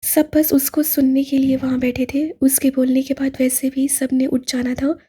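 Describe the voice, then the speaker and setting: Very breathy high-voiced woman narrator. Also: flat delivery, moderate pace, mellow. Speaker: audiobook narrator. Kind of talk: dramatic scripted narration of an Urdu romance novel.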